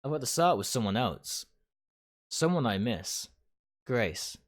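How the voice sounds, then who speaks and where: A man reading first-person game narration aloud dramatically, in short, deliberate phrases. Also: medium pitch, big pitch swings. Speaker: game streamer reading a visual novel aloud. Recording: music behind the voice.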